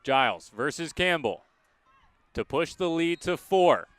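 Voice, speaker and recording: A man calling live college games as a radio play-by-play announcer, energetic and projecting, often raising his voice. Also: on the high side, measured pace, no room sound. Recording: clear live sports venue background noise.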